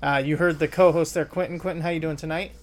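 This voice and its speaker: A man with a fairly high voice hosts a podcast. He speaks in an informal, conversational style, introducing guests and topics. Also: fairly even intonation, fast, slight background noise. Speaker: podcast host. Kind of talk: casual chat.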